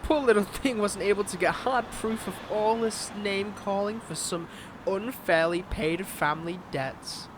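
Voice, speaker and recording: Male villain, played by an actor for romantic audio drama: scorned ex, mocking and seductive, teasing drawn-out delivery, clearly noisy outdoor backdrop. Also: sits high. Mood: taunting, resentful. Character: flirtatious, taunting male villain, a bitter scorned ex-lover. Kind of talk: dramatic audio roleplay acting addressed to listener.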